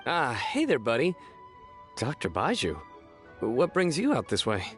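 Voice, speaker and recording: A man's voice: medium pitch, huge pitch swings, a little slow, soft background music. A man playing an earnest, troubled male guard in a video game. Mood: relieved, friendly.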